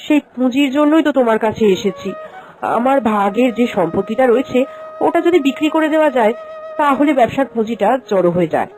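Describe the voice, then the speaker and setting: A woman voices a caring village housewife in a cartoon moral story. Her high voice moves widely up and down, earnest and expressive, over faint background music. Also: fast, close to an everyday voice, rough recording. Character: caring, cautious village housewife, the elder sister-in-law.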